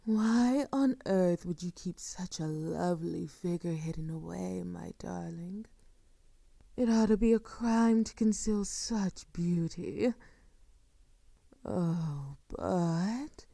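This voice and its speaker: A woman playing a sadistic, seductive vampire in an intimate audio roleplay, speaking softly and formally with a silky, teasing menace that often sinks toward a whisper. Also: on the low side, gravelly, dry recording, full character voice. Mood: seductive admiration. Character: sadistic, seductive female vampire, courtly and predatory. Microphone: close microphone, dry.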